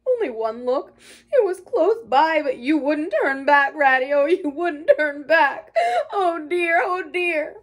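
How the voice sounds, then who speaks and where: A woman's voice: high-pitched, animated, takes it slowly, fairly bright tone, pitched above the performer's own voice, heavily acted. A woman voicing a small, timid male mole from a storybook, tearful and pleading in a broken, plaintive way. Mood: sobbing, heartbroken. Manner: sobbing, broken, plaintive delivery. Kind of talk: storybook character acting.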